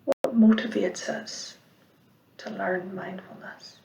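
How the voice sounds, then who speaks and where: Woman, meditation teacher, creaky mid-pitched voice, soft breathy phrase endings, unhurried pauses, roomy echo. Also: fairly even intonation, a little hiss or hum. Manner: measured, gentle delivery with long pauses. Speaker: mindfulness teacher. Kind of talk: guided meditation instruction. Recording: roomy, echoing sound.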